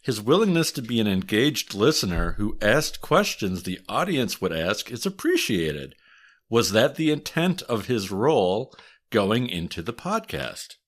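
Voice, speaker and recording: A man with a Wisconsin accent hosts a podcast in casual chat. His voice is on the low side, and he talks in a thoughtful, halting way, restarting his sentences and adding ums. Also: sing-song. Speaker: podcast host and historian.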